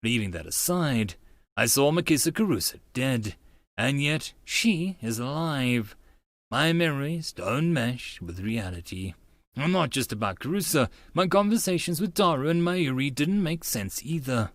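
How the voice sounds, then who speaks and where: A man's voice: mid-range voice, no echo. A man playing a proud, skeptical young man who is easily flustered, telling his own story in an audiobook. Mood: troubled.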